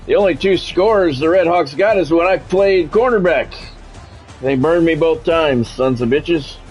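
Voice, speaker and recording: Higher-pitched man doing sports play-by-play. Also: unhurried, darkish tone, breathy edge, faint music behind, rough recording. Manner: announcer-style delivery with wry, grumbling, profane asides. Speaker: gaming streamer doing play-by-play commentary. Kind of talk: sports play-by-play commentary mixed with casual asides.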